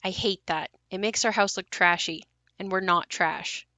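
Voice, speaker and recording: A woman's voice: medium pitch, normal speed, sharp tone, no room sound, close to an everyday voice. An actress playing a young woman who recalls her haunted family home in a confiding, wry, matter-of-fact monologue for an audio play. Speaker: narrator and lead of an audio play. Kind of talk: first-person dramatic monologue.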